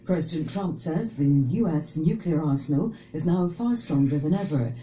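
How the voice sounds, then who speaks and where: A woman reads a formal world news bulletin at a steady pace. Her voice is very low and dark in tone. The relayed recording has a roomy sound. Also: moderate pace, slight background noise. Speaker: international newsreader.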